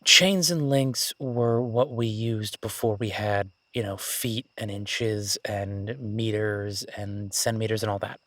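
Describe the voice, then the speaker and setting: A man playing an excitable, nerdy young academic on his first jungle expedition in a roleplay audio drama, gushing, stammering and apologetic. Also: fairly low pitch, slow pace, hardly any pauses, no echo. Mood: flustered, explanatory. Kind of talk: immersive roleplay audio drama, one-sided conversation.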